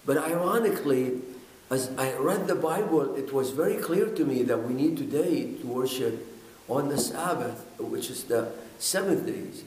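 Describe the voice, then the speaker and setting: An older man from Iraq tells his own story to the camera in a middle-pitched voice, sincere and a little halting as he restarts words. His speech rings in an echoey indoor room. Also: lively intonation, darkish tone. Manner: earnest, halting, with repeated words and fillers. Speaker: pastor. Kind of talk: personal testimony to camera. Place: indoors, in front of a bookshelf. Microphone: camera at close range.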